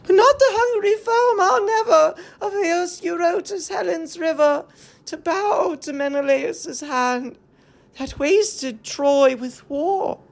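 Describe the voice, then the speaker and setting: A woman's voice: extremely high pitch, slow pace, creaky, voice pushed up, heavily acted. A woman playing frightened captive women in a verse tragedy, with a fervent, trembling, theatrical delivery full of desperate pleading. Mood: wild anguish. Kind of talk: classical verse tragedy, declaimed.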